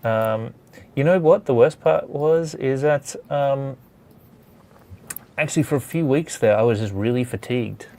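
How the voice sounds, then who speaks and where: A man's voice: mid-range voice, unhurried, darkish tone. A man hosting a studio session in casual chat, talking in a hesitant, rambling way. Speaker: host of a comics drawing studio session.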